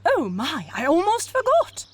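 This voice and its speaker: A woman playing a brisk, wry, playfully bossy fairy godmother in a children's cartoon story. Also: very high voice, wildly swooping pitch, exaggerated voice. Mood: flustered, reminding. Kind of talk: children's animated story acting.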